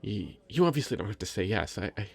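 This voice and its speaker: Shy, sweet young male nerd played by a man for ASMR roleplay: low, husky, hesitant, stammering, self-conscious. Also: very animated, fast, a little mellow, exaggerated voice. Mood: shy, playful. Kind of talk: scripted ASMR roleplay, intimate conversational acting.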